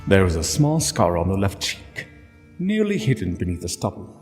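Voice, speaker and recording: A man narrating a literary story solemnly, in measured short phrases with dramatic pauses. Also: low-pitched, wildly swooping pitch. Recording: long reverb, music bed. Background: music.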